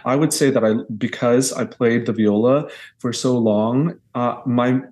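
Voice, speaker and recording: A man's voice: mid-range voice, measured pace, darkish tone. A man in his thirties from Texas, a guest choral conductor talking earnestly in a podcast interview over a video call from a small bedroom. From Houston area, Texas. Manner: earnest, reflective, speaks in long restarted sentences. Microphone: earbuds on a video call.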